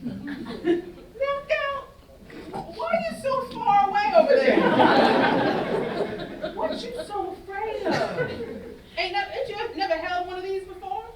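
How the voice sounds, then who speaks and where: A woman's voice: very high-pitched, very animated, slow, long pauses, a faint crowd, full character voice. A woman acting a bold, teasing, tough gun-toting woman in a staged play reading. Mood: coaxing, commanding. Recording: roomy, distant stage recording with faint noise.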